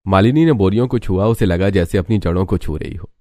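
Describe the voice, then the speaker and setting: A man's voice: low-pitched, brisk, warm tone, no room sound, studio quality. A man narrating a scripted cartoon story in a dramatic storytelling style.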